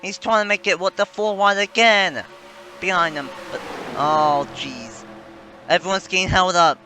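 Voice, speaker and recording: A man's voice: sits high, unhurried, sharp tone, soft background music. A man giving rambling, self-correcting play-by-play commentary on a simulated stock car race. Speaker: sim racing commentator.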